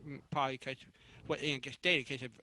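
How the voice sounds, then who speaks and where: A man moderating a casual panel chat, halting and repeating words as he talks. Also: mid-range voice, fairly even intonation, sharp tone, a little hiss or hum.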